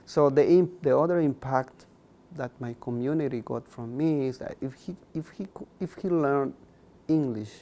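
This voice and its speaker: A man's voice: medium pitch, normal speed, dark tone. A young man, a Mayan speaker talking earnestly as an interview guest, repeating words for emphasis. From Guatemala. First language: K'iche' Mayan. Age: young adult.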